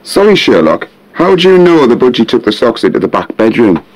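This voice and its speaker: A man's voice: mid-range voice, sing-song, a little fast, poor audio. An actor playing an admiring, curious male podcast panellist in a scripted audio drama.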